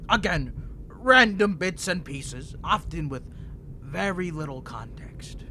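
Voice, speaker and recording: An ancient, unhinged male vampire in chains for a dramatic audio roleplay. The voice is bright and sharp, swooping widely in pitch as he rambles, flirts and manipulates. There is clear noise behind him. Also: lively intonation, takes it slowly, exaggerated voice. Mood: smug, cryptic. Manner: theatrical, rambling, teasing, swinging between smug and pleading.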